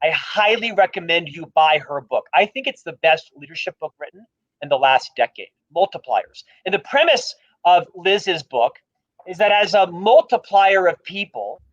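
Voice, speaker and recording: A man's voice: high-pitched, a bit bright, lo-fi sound. A man with a stutter, speaking emphatically and with humor as a guest in a livestream conversation about leadership. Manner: emphatic, self-deprecating, openly stutters on some words. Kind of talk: livestream interview talk.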